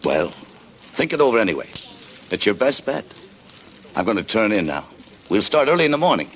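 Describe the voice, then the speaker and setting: A man's voice: fairly low pitch, very animated, brisk, a little hiss or hum. An actor playing a tough, hard-boiled adventurer who narrates his own story in clipped 1940s radio style. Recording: old radio broadcast with music bed.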